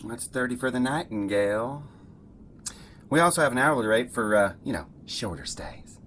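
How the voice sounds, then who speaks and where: A sleazy night clerk played by a man in an audio drama, heard a little distant over noisy ambience. His folksy, oily patter slides widely up and down in pitch. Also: animated, normal speed, a bit bright, lightly acted. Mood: sly. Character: sleazy male desk clerk at seedy hotel.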